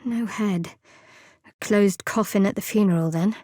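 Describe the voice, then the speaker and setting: An actress voicing a guarded, sardonic young woman cleric in a video game, with a dry, wry and measured delivery. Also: medium pitch, mellow, dry recording, lightly acted. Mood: wry, aloof. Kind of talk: video game character voice acting.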